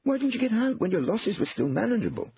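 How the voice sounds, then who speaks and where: A man's voice: high-pitched, big pitch swings, fast talker, creaky, muffled recording, slight background noise, pitched above the performer's own voice, exaggerated voice. A man voicing an anxious, tight-fisted shopkeeper in an audiobook, flustered, incredulous and evasive.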